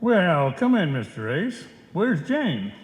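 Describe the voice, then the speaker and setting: In a very echoey hall, a man plays an affable neighbour from a radio comedy. His slightly high voice is animated, obliging and inquisitive. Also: takes it slowly. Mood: affable, curious. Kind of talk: old-time radio comedy acting. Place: on stage in a live performance. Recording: echoey live hall recording, long reverb.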